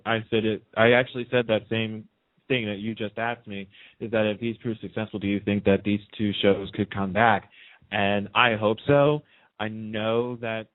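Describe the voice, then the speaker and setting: A man hosting a casual radio talk show, speaking in an emphatic, outspoken way over a narrow phone-like line. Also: fairly low pitch. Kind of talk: casual opinionated radio chat.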